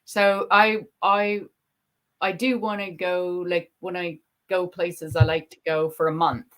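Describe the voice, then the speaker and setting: A woman's voice: fairly low pitch, level tone, measured pace, a bit bright. Casting note: chatty, rambling woman, mixed English-Canadian accent, casual video-call guest. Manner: chatty, rambling, laughs often. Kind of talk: casual chat.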